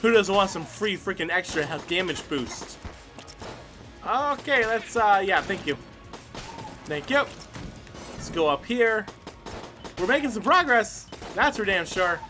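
A man giving casual, excited gameplay commentary as host, exclaiming and swearing as he talks to himself and the game. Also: high voice, unhurried, pauses often. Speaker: gaming video host. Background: game music.

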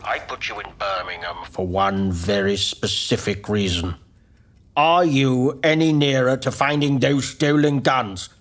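A man's voice: medium pitch, fairly even intonation, unhurried, fairly bright tone, lightly acted. An actor playing a stern, authoritative older statesman, speaking in clipped, commanding tones over a telephone. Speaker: senior politician on the phone. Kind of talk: period TV drama. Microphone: phone line.

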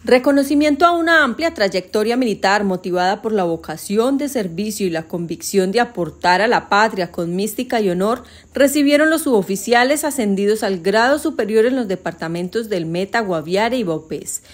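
A woman narrates a ceremony report in a solemn, measured tone. Her voice is mid-pitched and steady. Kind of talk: scripted voice-over.

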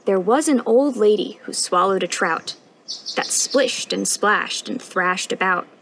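A young woman reading a picture book aloud at storytime in an expressive, sing-song rhyming delivery, recorded outdoors. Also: medium pitch, a little slow, a little hiss or hum, rough recording. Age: young adult. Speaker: storytime reader, intern. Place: outdoors on a wooden porch.

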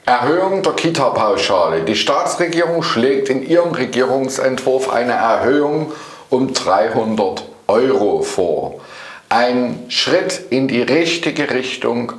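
Slow, deliberate middle-aged man, low voice. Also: creaky. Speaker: politician presenting a party news show. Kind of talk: scripted political commentary to camera. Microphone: clip-on lapel mic. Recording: roomy, echoing studio sound.

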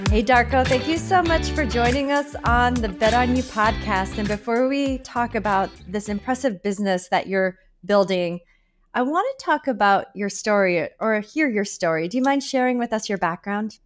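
A woman in her forties hosting a podcast interview from a home office over a video call, upbeat and enthusiastic with lively emphasis. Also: fairly high pitch, moderate pace, faint music behind. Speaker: podcast host. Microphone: video call with a desk microphone.

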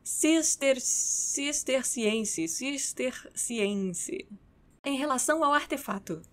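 A woman's voice: high-pitched, expressive, slow pace, fairly bright tone. A Brazilian woman recording scripted narration, stumbling over words and slipping into playful casual asides.

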